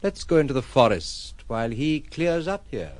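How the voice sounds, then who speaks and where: An actor playing a philosophical, reclusive gentleman in a radio drama, formal and reflective, tender and wry. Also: medium pitch, very animated, moderate pace, dry recording, close to an everyday voice. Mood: attentive.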